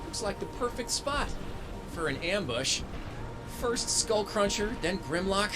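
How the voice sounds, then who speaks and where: A plucky young robot hero from a video game, speaks in a fairly high, very lively voice. He is wry and informal, quick with a quip, and clear music plays behind him. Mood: determined. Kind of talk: video game cutscene acting.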